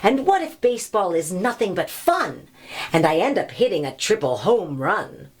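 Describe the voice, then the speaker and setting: A woman's voice: medium pitch, sing-song, moderate pace, breathy edge. A woman voicing a hopeful, upbeat young boy in a storybook read-aloud, asking rhyming questions with confidence.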